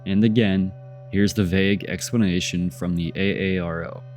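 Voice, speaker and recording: A man with a very low voice and a stuffy, congested sound narrates clips in a skeptical voice-over. He keeps asking pointed questions about what the footage shows. Soft music plays faintly underneath. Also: steady tone, normal speed. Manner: skeptical, poses rhetorical questions. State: congested, nasal from a cold. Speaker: channel host narrating UFO footage. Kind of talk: voice-over commentary on video clips.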